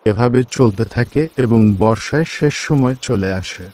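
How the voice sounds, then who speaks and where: A man's voice: mid-range voice, normal speed, darkish tone, slight background noise. A man narrating a scripted village folk tale as a storyteller.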